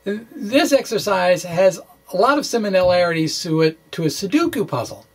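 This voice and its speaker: A man explaining physics in an informal walkthrough, thinking aloud in a halting way and correcting himself, with wry asides. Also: high-pitched, expressive, husky. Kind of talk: informal math walkthrough, reading and ad-libbing.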